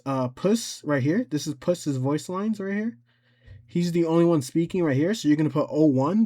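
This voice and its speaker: A man giving a casual software tutorial, rambling and thinking aloud as he works through each step. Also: mid-range voice. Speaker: tutorial presenter.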